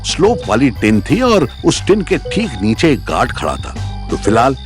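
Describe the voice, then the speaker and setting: A man's voice: medium pitch, wildly swooping pitch, normal speed. A man narrating a scripted audio story in a dramatic storytelling style. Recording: music under the narration.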